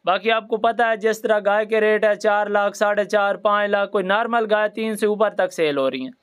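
A man's voice: high-pitched, normal speed, a bit bright, clean tone. A man with a Pakistani accent narrating a promotional livestock sales video in repetitive sales patter.

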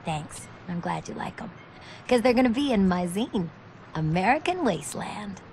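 A woman's voice: medium pitch, very animated, moderate pace, a little mellow, slight background noise, poor audio. Sardonic young punk woman. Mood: shy, pleased. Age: young adult. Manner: wry, sarcastic, teasing.

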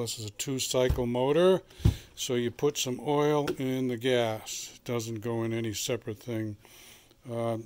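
A middle-aged man talks through a home tool demonstration in his garage, plainspoken and rambling, full of "uh"s as he thinks aloud. His voice is clear and smooth at an ordinary mid pitch, picked up by the camera's own mic. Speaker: channel host. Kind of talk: casual how-to demonstration. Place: home garage workshop, then outdoors.

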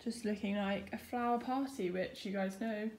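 A woman's voice: medium pitch, normal speed, darkish tone, a little hiss or hum. A young British woman chatting casually to camera as a vlogger, informal and self-deprecating. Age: young adult. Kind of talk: casual vlog chat to camera.

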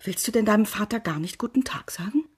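A middle-aged actress playing a domineering, nagging mother in an audio drama, with a breathy, husky voice. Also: medium pitch, lively intonation, fast, over loud music, close to an everyday voice.

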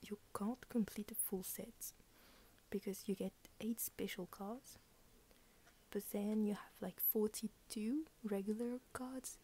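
A woman's voice: mid-range voice, fairly even intonation, slow pace, dark tone, breathy edge. A tired young woman whispering at home, gushing and rambling as she unboxes collectible cards. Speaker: unboxing vlogger.

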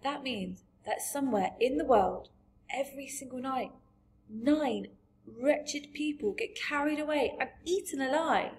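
A woman's voice: high-pitched, a little slow, voice pushed up. A woman voicing a curious, kind young girl in a storybook, earnest and questioning. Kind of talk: storybook character voice.

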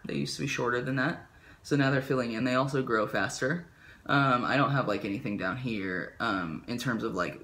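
A man's voice: mid-range voice, pushed and bright, slight background noise. A young man talking casually to camera in a small bedroom, rambling with lots of fillers, his voice prone to cracking. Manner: rambling with many fillers and likes. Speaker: vlogger. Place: small bedroom with ceiling fan.

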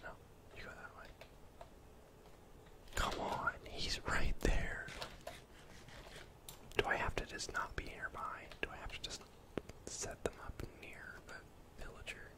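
A young man doing whispered ASMR game commentary in a breathy, unvoiced voice, murmuring to himself as he plays indoors. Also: slow pace, pauses often. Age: young adult. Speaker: gaming streamer. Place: indoor room at home.

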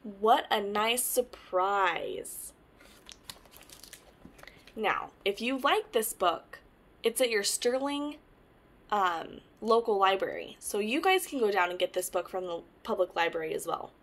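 A young woman hosting storytime, reading a children's picture book aloud with animated, deliberate phrasing. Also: medium pitch. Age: young adult. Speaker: storytime host.

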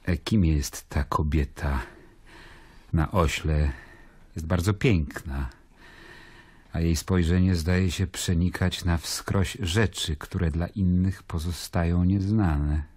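An actor playing a grave Roman governor who confides in his brother, in a measured, solemn radio-drama narration. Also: sits low, fairly even intonation, a little mellow, breathy edge, dry recording, exaggerated voice. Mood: commanding. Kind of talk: radio drama, epistolary first-person narration. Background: music.

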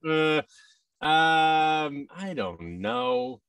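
An American man in his thirties chatting casually as an interview guest, in a halting, self-effacing manner. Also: fairly high pitch, wildly swooping pitch, gravelly, recorded from a distance, over a noisy crowd. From United States. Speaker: guest, voice actor. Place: office room indoors, video call. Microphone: boom microphone and headset on a video call.

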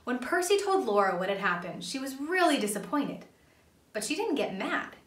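Animated young woman reading a storybook. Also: high voice, normal speed. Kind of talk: children's storybook read-aloud. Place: small indoor playroom. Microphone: camera a few feet away. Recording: roomy, distant camera microphone.

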